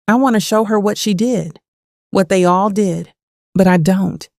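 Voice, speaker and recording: A haunted thirty-something woman played by an actress tells her own story of old wounds. Her voice sits at a middling pitch with a gravelly fry, recorded close and dry. She is bitter and brooding, in the manner of an audio thriller. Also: measured pace, a little mellow, no echo. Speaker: narrator and lead of an audio drama. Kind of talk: first-person dramatic scripted narration.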